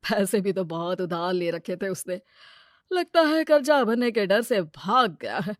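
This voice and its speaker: A woman's voice: mid-range voice, sing-song, measured pace, dry recording, clean studio sound. A woman voicing a greedy, scheming village moneylender man in a moral story, brash and full of exclamations. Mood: brash, dismissive. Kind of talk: animated moral-story character dialogue.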